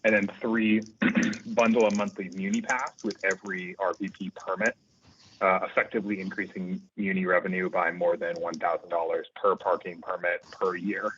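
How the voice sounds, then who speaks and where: A man giving a brisk, itemized public comment by phone. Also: low-pitched, flat delivery, fairly bright tone, lo-fi sound, a little hiss or hum. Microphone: phone line.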